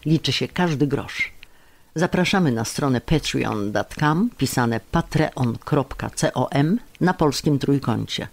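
A man's voice: mid-range voice, lively intonation, slow, gravelly. A man reading a scripted podcast promo as an announcer.

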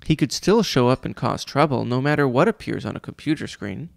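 A man reads the part of an earnest schoolboy in a storybook. He questions grown-ups with worried protest. The voice is lightly gravelly and recorded dry. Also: mid-range voice, lively intonation, brisk, dry recording.

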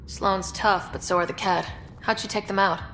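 An actress playing a cool, plucky young space explorer in a video game, speaking in a dry, direct, unfazed way. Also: female voice, mid-range voice, steady tone, moderate pace, fairly bright tone, a little hiss or hum, close to an everyday voice, rough recording. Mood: dry, curious. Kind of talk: video game character dialogue.

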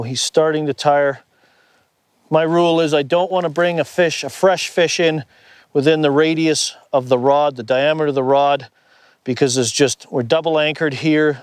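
Middle-aged male angler, slightly higher voice, relaxed on-water instruction, outdoor lake, lapel mic. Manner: relaxed, explains as he plays the fish. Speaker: fly fishing instructor. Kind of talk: instructional commentary while fishing. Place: small boat on a lake, outdoors.

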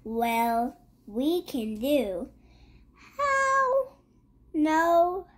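A young child with a high voice reads a rhyming picture book aloud. The pace is extremely slow and halting, with words sounded out and often repeated. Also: animated, fairly bright tone. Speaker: young reader.

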